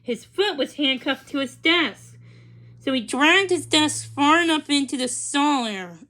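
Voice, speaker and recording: A woman reading a children's storybook aloud, in a gentle, measured way. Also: high voice, expressive. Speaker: storybook reader. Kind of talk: children's read-along narration.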